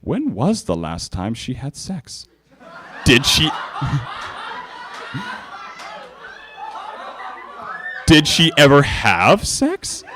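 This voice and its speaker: A man's voice: medium pitch, background noise. A man hosting a live comedic reading of fanfiction, amused and stumbling over the text at a convention panel. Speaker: fanfiction reader, panel host.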